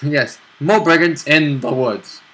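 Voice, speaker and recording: A man's voice: a little high, takes it slowly, fairly bright tone, poor audio. A man chatting casually as a tabletop role-playing player, chiming in with joking asides. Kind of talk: casual chat.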